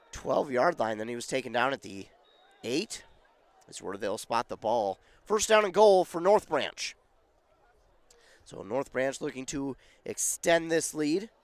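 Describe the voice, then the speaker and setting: Radio play-by-play man, slightly high voice, hoarse and scratchy, energetic on big plays. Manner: excited rises on big plays. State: losing his voice, scratchy. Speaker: play-by-play announcer.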